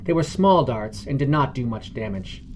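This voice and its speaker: A man's voice: mid-range voice, lively intonation, normal speed, darkish tone, a little hiss or hum. A man reading scripted narration for a fantasy adventure podcast.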